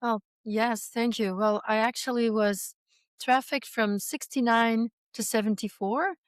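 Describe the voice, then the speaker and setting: A woman in her sixties from Belgium, a guest in an interview, speaking in a measured, reflective way with soft pauses. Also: fairly high pitch, steady tone, smooth, no room sound, clean studio sound. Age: 60s. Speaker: guest, author and survivor. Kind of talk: conversational interview.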